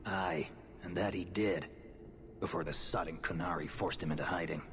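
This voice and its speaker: A man playing a gruff, proud dwarf in a video game, with a hearty, blunt manner. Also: low-pitched, steady tone, normal speed. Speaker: dwarf explosives expert in a fantasy game. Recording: noisy, echoing cavern ambience.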